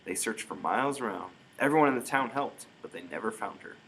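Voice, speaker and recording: A man's voice: lowish voice, lively intonation, brisk, creaky, fairly bright tone, slight background noise, poor audio. Man reading as a grave, loving old grandmother. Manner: solemn, emphatic warning. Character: very old, loving, grave Norwegian grandmother telling witch tales.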